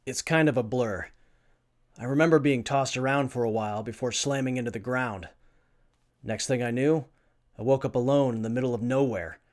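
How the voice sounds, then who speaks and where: A man's voice: mid-range voice, fairly even intonation, moderate pace, natural voice. A man playing an earnest, brainy young survivor who tinkers with armor, in a post-apocalyptic audio drama. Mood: weary, recounting.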